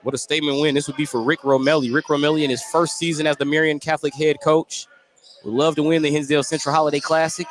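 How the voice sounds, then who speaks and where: An American man doing live play-by-play basketball commentary for radio, getting excited on big plays. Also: a little high. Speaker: play-by-play sports announcer. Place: indoor basketball gym. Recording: noisy live gym broadcast. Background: loud gym ambience.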